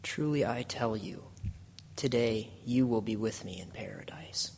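A man's voice: medium pitch, unhurried, a little mellow, lightly acted. A man playing a solemn, dying holy figure in a dramatic scripture reading. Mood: solemn assurance. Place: large reverberant church hall. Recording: echoey hall, long reverb, background noise.

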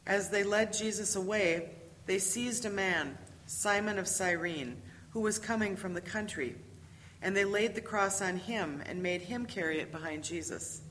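A woman's voice: medium pitch, a little slow, a bit bright. A woman narrating a dramatic scripture reading from a script, recorded in a reverberant hall. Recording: reverberant hall with faint noise.

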